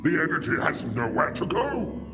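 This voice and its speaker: Sci-fi audio drama war lord: theatrical, defiant, wildly varied pitch, long echo, music underneath. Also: male voice, wildly swooping pitch, normal speed. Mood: menacing. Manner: theatrical, declamatory. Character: male alien war lord, vengeful, defiant, grandiose villain. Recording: long reverberant hall, music behind.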